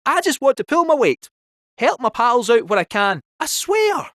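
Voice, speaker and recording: Cheeky, high-voiced Scottish cartoon cat. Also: male voice, very animated, moderate pace, a bit bright, dry recording, studio quality, full character voice. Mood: chatty. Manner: jaunty, teasing, theatrical. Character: small cheeky talking robot cat, male, chatty and theatrical. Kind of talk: animated video game character dialogue.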